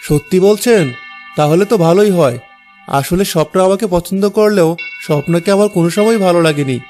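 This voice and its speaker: A man's voice: high voice, brisk, dark tone, soft background music, lightly acted. A man voicing a two-faced, opportunistic young husband in a cartoon, with an ingratiating manner. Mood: conspiring. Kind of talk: Bengali cartoon dialogue.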